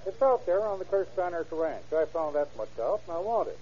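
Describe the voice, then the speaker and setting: A man's voice: on the high side, sing-song, fairly quick, warm tone, slightly rough, dull audio. An actor, a man, playing a blunt, insistent, folksy small-town man in a radio drama. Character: insistent, aggrieved small-town man chasing his money. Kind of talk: 1930s radio drama acting. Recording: band-limited old radio drama recording.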